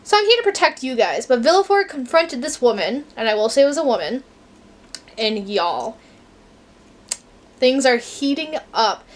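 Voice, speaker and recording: A young woman talking casually to her camera at home about a book she is reading, chatty and candid, with quick asides and casual swearing. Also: high voice. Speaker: book vlogger. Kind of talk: casual vlog chat to camera. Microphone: camera mic.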